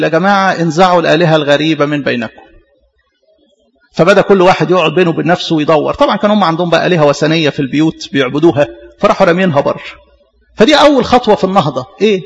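A man preaches in an Egyptian Arabic accent, his voice pitched high and carried by long hall reverb. He moves quickly, repeating key phrases and posing rhetorical questions. The delivery is emphatic and instructive, mixing colloquial storytelling with scripture. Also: vocal fry, muffled recording. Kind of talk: sermon retelling Bible stories in colloquial speech with scripture quotes.

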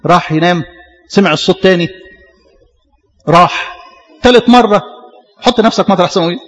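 A man preaches in an Egyptian Arabic accent, his voice pitched high and carried by long hall reverb. He moves quickly, repeating key phrases and posing rhetorical questions. The delivery is emphatic and instructive, mixing colloquial storytelling with scripture. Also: fairly bright tone. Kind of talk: sermon retelling Bible stories in colloquial speech with scripture quotes.

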